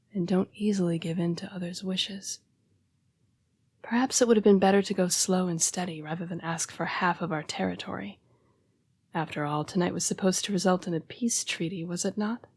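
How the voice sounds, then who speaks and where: A woman playing a young-seeming, formal and apologetic female vampire in an intimate audio roleplay, breathy and often dropping to a whisper. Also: on the low side, darkish tone, no room sound. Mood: somber, earnest. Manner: formal, polite, measured phrasing. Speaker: vampire council's correspondence assistant.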